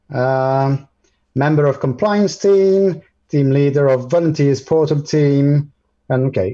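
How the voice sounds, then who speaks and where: A man whose first language is French walks through a tutorial on a video call. His voice is mellow and soft-edged, and the audio sounds muffled. He speaks haltingly, with frequent ums and restarts. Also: medium pitch. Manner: hesitant, many ums and restarts. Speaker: guest presenter. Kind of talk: live screen-share tutorial.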